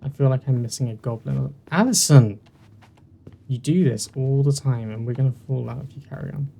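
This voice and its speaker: Creaky, mellow southern English man. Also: medium pitch, brisk. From southern England. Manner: chatty, self-deprecating. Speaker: host of a gaming series. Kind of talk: casual let's play commentary. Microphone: poor-quality mic with uneven levels. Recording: poor mic with faint noise.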